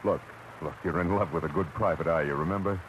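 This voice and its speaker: Very deep hard-boiled private eye, acted by a man. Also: fast talker, a little hiss or hum, lightly acted. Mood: urgent pleading. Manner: hard-boiled, slangy, clipped. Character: tough, world-weary male private eye in love. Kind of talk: 1940s-50s radio detective drama acting with first-person narration. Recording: dull vintage radio broadcast, loud music.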